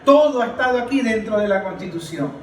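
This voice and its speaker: A man's voice: high voice, animated, fast talker, reverberant, far from the mic. A man giving impassioned political commentary as a podcast panelist, emphatic and declamatory, repeating phrases for force.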